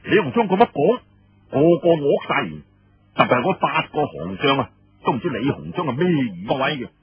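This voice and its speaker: A man's voice: mid-range voice, very animated, brisk, breathy edge. A man telling a history story in colloquial Cantonese, narrating dramatically in the manner of a traditional storyteller. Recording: muffled lo-fi roomy radio-like recording.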